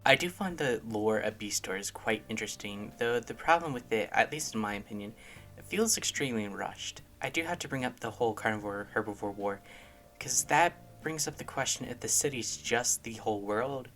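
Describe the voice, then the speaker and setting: A man speaking as a guest commentator, offering his opinion in a measured commentary style. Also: mid-range voice, expressive, a bit bright. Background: background music.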